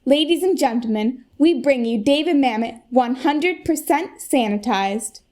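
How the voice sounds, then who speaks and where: A young American woman playing an earnest, polished corporate saleswoman giving an emphatic keynote pitch in a comic radio play. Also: lively intonation, a little slow, noisy background. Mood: persuasive. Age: young adult. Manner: presentational, emphatic.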